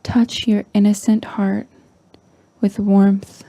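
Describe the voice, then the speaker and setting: A woman leading a guided meditation in a soft, breathy, near-whispering voice, calm and gentle with long pauses. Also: medium pitch, no echo. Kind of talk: slow guided meditation narration.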